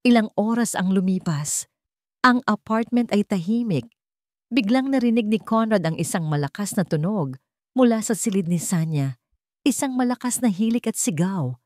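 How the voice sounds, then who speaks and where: A woman's voice: medium pitch, expressive, normal speed, a little mellow, no echo, studio quality. A woman narrator reading a scripted, dramatic love story.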